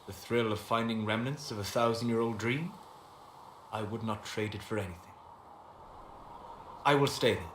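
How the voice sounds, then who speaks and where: A low, composed voice of a reserved elven scholar-mage, played by a man in a fantasy game. He speaks formally and eloquently, with a wistful gravity. The sound is roomy, with faint noise behind it. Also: moderate pace, pauses often, fairly bright tone, natural voice. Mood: guarded, courteous. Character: calm scholarly male elf apostate mage, wistful and reserved. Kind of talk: video game dialogue acting. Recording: echoey room with background noise.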